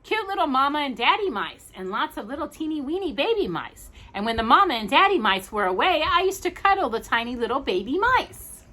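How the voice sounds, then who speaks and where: A woman's voice: high voice, very animated, brisk, bright, forceful tone, voice pushed up, heavily acted. A woman voicing a cheerful, kindly boy rag doll in a storybook, earnest and cheery. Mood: happy, tender.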